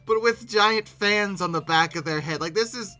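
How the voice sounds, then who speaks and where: A very bright, forceful voice delivers off-the-cuff reaction commentary over music. The delivery is animated and incredulous, stumbling into repeated words with exasperation. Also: medium pitch. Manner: halting, repeating words when flustered. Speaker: video creator hosting a reaction commentary. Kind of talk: informal, unscripted reaction commentary.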